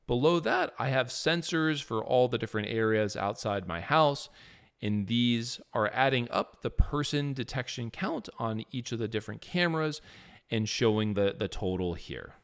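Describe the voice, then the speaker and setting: A man's voice: medium pitch, animated, normal speed. A man presents an explanatory tech video to camera. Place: quiet living room, indoors. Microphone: lapel microphone.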